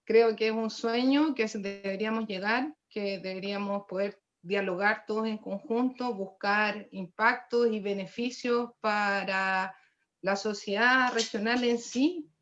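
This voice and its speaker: A woman's voice: medium pitch, fairly even intonation, measured pace, fairly bright tone. Earnest Chilean woman, slightly dull audio. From Los Lagos region, Chile. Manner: earnest, passionate. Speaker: panelist. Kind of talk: panel discussion.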